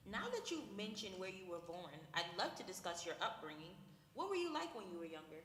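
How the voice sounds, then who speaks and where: Bright-voiced woman hosting a church skit. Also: mid-range voice, very animated, fairly quick. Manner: reads from a script with small slips. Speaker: talk show host in a church skit. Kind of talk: scripted talk show hosting. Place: church hall. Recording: echoey hall with long reverb, faint noise.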